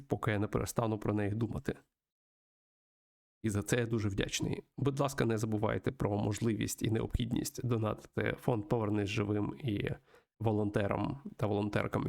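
A man with a creaky voice, hosting a let's-play, reading a visual novel aloud and adding his own commentary. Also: medium pitch, a little mellow. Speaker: host of a video game let's-play show.

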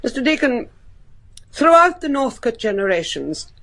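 A woman's voice: on the high side, very animated, normal speed, a bit bright. An actress playing a grave older grandmother of a cursed family in a gothic radio drama, solemn and impassioned. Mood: ominous.

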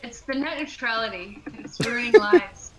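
A woman's voice: a little high, animated, moderate pace, crowd noise, rough recording. A woman chatting playfully with friends during an online game, giggling often. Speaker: gaming friend. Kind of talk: casual gaming chat.

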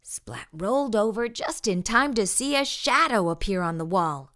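A woman reading a children's storybook aloud expressively, with deliberate, dramatic pauses. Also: medium pitch, lively intonation, fairly bright tone. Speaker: storytime host and narrator.

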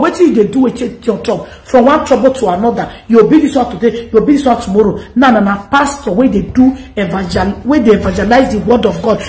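Nigerian female commentary host, 30s, animated and scolding, higher voice, echoey room. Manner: animated, scolding, mixes pidgin and English. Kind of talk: direct-to-camera commentary.

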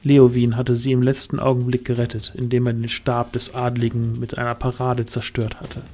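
A man's voice: mid-range voice, monotone, moderate pace, a little mellow, a little hiss or hum. A man giving scripted narration for a fantasy audio drama.

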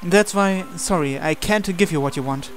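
A man with a slightly high voice reads a visual novel aloud. He takes the male lead's dialogue and thoughts in character. Faint music plays beneath him. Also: moderate pace. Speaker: gameplay reader voicing the male protagonist. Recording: music playing under the voice.

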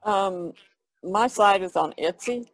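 A woman's voice: medium pitch, slow, no echo. A woman co-hosting a podcast, chatting casually. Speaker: podcast co-host and artist.

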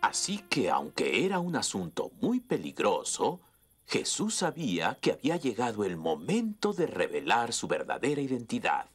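A man's voice: medium pitch, huge pitch swings, normal speed, slightly rough. A man voicing an earnest adult storyteller in a children's cartoon, narrating with dramatic expression.

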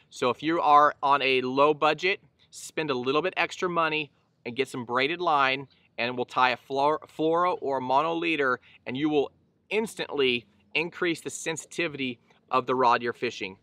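Bright, clear-voiced man teaching outdoors. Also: mid-range voice, no echo. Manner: easygoing, explanatory, frequent fillers and restarts. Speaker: fishing channel host. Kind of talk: casual instructional talk to camera. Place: outdoors on a boat on a lake.

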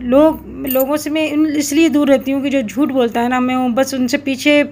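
A middle-aged woman talks through her home vlog in an earnest, reflective tone, often stopping to pause and gather her words. Her voice sits a touch high, and a faint noise runs underneath. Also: steady tone, a little mellow. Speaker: cooking vlogger. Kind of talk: casual vlog voice-over.

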